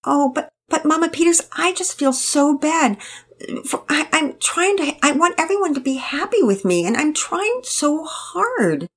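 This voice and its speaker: A woman's voice: high-pitched, big pitch swings, unhurried, voice pushed up. A woman voicing a timid, people-pleasing young girl who is exhausted, stammering and out of breath. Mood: flustered. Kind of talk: storybook reading.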